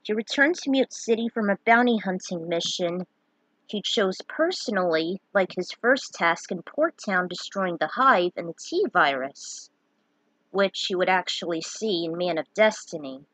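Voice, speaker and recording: A woman's voice: on the low side, animated, a little slow, fairly bright tone, no echo, low-quality recording. A woman reading a fan fiction story aloud, dramatic in her telling and given to opinionated asides. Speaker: narrator.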